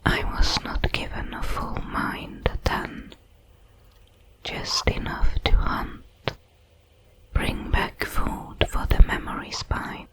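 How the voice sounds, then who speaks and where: A woman voicing a curious, solemn alien creature, a shard of a hive mind, in a whispered, halting ASMR sci-fi roleplay. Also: fairly bright tone, rough recording. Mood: solemn wonder. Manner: slow, deliberate, halting over its words.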